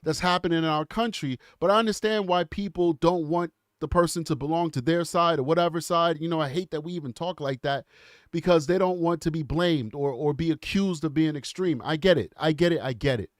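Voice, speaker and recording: A man's voice: on the high side, fairly quick, no echo, studio quality. A man with a cold and a congested voice, hosting a casual livestream in a chatty, rambling way and laughing often. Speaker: show host.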